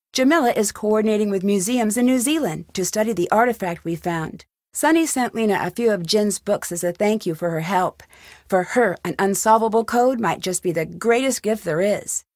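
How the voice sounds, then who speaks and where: An actress playing a young amateur detective in a video game, upbeat, caring and wry, with a friendly, lightly teasing delivery. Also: female voice, medium pitch, no echo, studio quality, lightly acted. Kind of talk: scripted video game voice acting.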